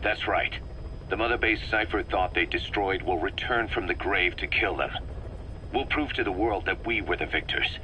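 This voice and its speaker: A low, hard-edged voice of a shrewd, vengeful mercenary commander in a video game. He briefs with driven, emphatic conviction and a sharp, tense tone. Faint noise sits behind him. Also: moderate pace, rough recording. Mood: defiant, confident. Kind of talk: video game voice acting, dramatic briefing dialogue.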